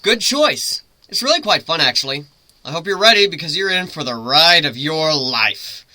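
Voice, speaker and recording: A man voicing a brash, commanding griffin ship's captain in a story reading, loud, theatrical and taunting. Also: fairly high pitch, expressive, moderate pace.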